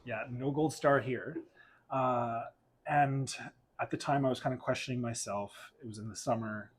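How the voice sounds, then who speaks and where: A man's voice: medium pitch, fairly even intonation, normal speed, slightly rough. Chatty man telling his own story. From Portugal, raised in Alberta, Canada. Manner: chatty, candid storyteller who laughs often. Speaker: guest. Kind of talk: casual interview chat.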